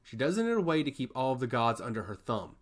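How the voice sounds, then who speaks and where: A man's voice: mid-range voice, very animated, quick pace. A man voicing a passionate god of chaos who loves humanity, speaking in earnest, emphatic monologue in a fantasy story. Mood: resentful, ominous. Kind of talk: fantasy storybook character dialogue.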